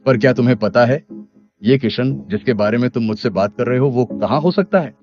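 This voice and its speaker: A man's voice: mid-range voice, a little fast, close to an everyday voice. Stern, commanding village headman acted by a man. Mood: questioning. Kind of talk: animated moral story character acting. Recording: dull lo-fi sound under music.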